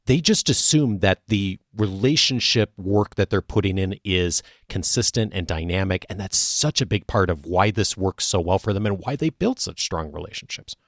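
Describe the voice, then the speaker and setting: A man hosting a podcast interview speaks in a very low register. He reflects thoughtfully on his guest's ideas and sums them up for the listener. His voice is recorded close and dry. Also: lively intonation, moderate pace, hardly any pauses, no room sound. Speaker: podcast host. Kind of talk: interview conversation.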